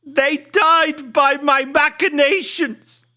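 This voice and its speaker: A narrator acts out a guilt-ridden gentleman scientist in a gothic storybook, his voice pushed high and loud. He declaims in long, drawn-out phrases, frantic and despairing. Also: steady tone, exaggerated voice. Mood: frantic, desperate. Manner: theatrical, drawn-out, impassioned declaiming.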